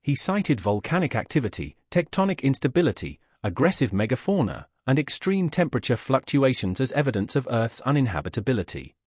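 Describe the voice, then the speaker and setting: Male narrator, mid-range pitch with plenty of movement, wry scripted sci-fi storytelling. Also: very animated, a little fast, hardly any pauses, a little mellow, dry recording, studio quality.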